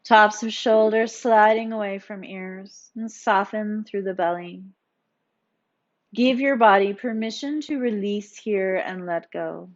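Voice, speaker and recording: A woman yoga instructor narrating a guided meditation in a soothing, gently encouraging, instructional manner. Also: medium pitch, slow, lo-fi sound.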